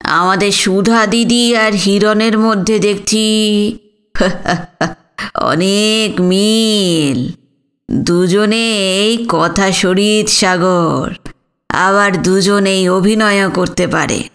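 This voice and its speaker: A genial elderly gentleman put on by a woman narrator in a storybook reading. He teases gently with amusement, speaking low and very unhurriedly. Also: extremely slow. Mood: amused teasing. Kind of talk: audiobook character dialogue.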